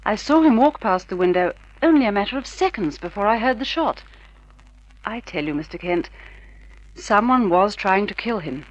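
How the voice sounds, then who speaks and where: A woman's voice: medium pitch, animated, normal speed, lightly acted. A woman playing an earnest, aggrieved wife, speaking emphatically and formally in a 1950s radio drama style. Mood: urgent. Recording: muffled vintage radio recording.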